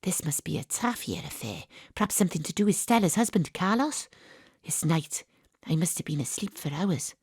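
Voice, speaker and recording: An actress playing a wry, world-weary private detective, deadpan and confiding, in noir audio drama style. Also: female voice, on the low side, normal speed, husky, dry recording, clean studio sound, full character voice. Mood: brooding. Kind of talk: noir detective narration and dialogue.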